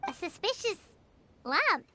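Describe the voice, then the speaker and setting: A woman voicing a tiny, excitable, childlike fairy companion with a squeaky voice, in an anime game style. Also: takes it slowly, slight background noise, full character voice. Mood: curious, cautious. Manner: exclamatory, dramatic. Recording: game music behind the voice.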